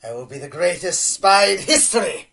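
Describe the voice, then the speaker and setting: An actor playing an excitable, self-important pony inventor who fancies being a spy, in a radio play with a theatrical delivery. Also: medium pitch, moderate pace, vocal fry. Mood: gloating, scheming. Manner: theatrical, hesitant fillers amid boasting. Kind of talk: amateur radio play acting.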